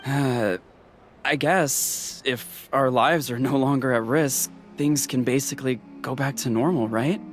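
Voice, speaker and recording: Male actor as game townsman, medium pitch, hesitant, questioning, everyday manner, faint music behind. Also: unhurried, close to an everyday voice. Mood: uncertain. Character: hesitant, ordinary male citizen of a warrior nation. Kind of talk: video game voice acting.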